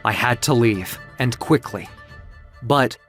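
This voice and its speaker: A narrator telling a dramatic story in a measured, literary, theatrical way, as in an audio comic book. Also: mid-range voice, a bit bright, soft background music.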